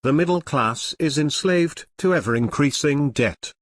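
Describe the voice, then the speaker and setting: A man's voice: a little high, a little slow, dry recording, studio quality. A man giving scripted explainer narration in an earnest, formal, teacherly manner.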